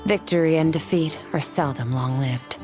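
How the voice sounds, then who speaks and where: A woman voicing a cool, aloof young warrior woman in a video game, speaking in a measured, terse and formal way. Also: low-pitched, music in the background, close to an everyday voice. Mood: solemn.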